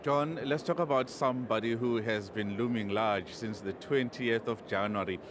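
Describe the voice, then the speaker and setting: A male business reporter interviewing a guest live, halting often with many fillers. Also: medium pitch. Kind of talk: live outdoor news interview. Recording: street noise behind.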